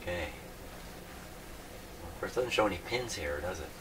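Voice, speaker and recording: A man thinking aloud in an unscripted workbench video, halting and puzzled, muttering to himself in a self-deprecating way. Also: deep voice, lively intonation. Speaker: host of a radio repair video. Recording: clearly noisy hobby video recording.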